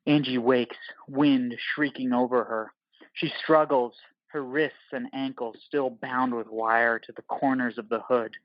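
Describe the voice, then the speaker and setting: A man reads scripted narration for an audio play. His voice sits at a middle pitch, and the recording is very dry with a dulled top end. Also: fairly even intonation, unhurried, no echo, muffled recording.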